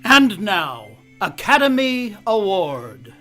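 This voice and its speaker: A showy, formal announcer from the golden age of radio. The voice is bright and forceful with emphatic phrasing, over faint music. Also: medium pitch, sing-song, slow. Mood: grand, ceremonial. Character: grand, formal old-time radio show announcer.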